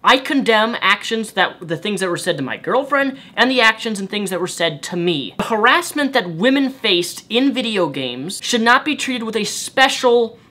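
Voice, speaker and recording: Young male commentator, high and bright-voiced, indignant, repetitive rhetorical delivery. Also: expressive, normal speed, few pauses. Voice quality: higher-pitched voice for a man. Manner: indignant, rhetorical, repeats himself. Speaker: YouTube commentator. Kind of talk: reaction commentary.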